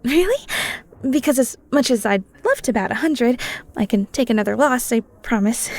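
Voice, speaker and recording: A woman's voice: a little high, lively intonation, moderate pace, a little mellow. A woman playing a playful, flirty cheesemonger in an intimate, teasing audio roleplay. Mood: playful, enthusiastic. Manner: bubbly, teasing, giggly, breaks into near-whispers.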